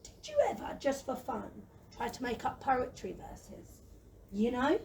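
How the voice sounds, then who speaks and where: A woman in a children's audio play voicing a gentle, lazy, timid dragon who chatters in a plaintive, excitable, wordy way. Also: a little high, unhurried, gravelly. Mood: excited. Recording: noisy, slightly distant microphone.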